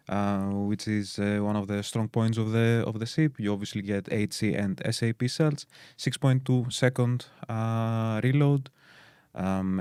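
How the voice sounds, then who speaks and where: A man's voice: fairly low pitch, dark tone, clean tone, no room sound, clean studio sound. A man from Greece with a Greek accent, co-hosting a game stream and chatting casually with lots of fillers as he explains game details. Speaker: stream co-host.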